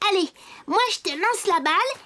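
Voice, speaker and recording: Very high-pitched cheerful cartoon child. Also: female voice, animated, normal speed, sharp tone, slight background noise, exaggerated voice. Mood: cheerful, inviting. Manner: eager, encouraging, exclamatory. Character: cheerful, friendly little child playmate in a toy land. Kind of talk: children's animated cartoon dubbing. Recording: music playing behind the voice.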